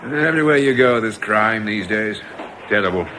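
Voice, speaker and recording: An actor in a radio drama playing a chatty, opinionated, old-fashioned customer who muses and grumbles. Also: male voice, lowish voice, expressive, normal speed, pushed and bright, slight background noise, poor audio. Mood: chatty, disapproving.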